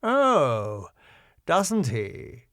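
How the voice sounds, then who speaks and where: A man's voice: on the high side, wildly swooping pitch, extremely slow, fairly bright tone, no echo, studio quality, pitched above the performer's own voice, heavily acted. Man playing a sly, smug sarcastic man. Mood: sly delight.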